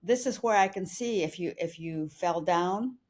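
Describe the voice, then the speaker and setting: A woman co-hosting a podcast, telling a personal story in an earnest, steady way. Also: fairly low pitch, normal speed. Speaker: podcast co-host.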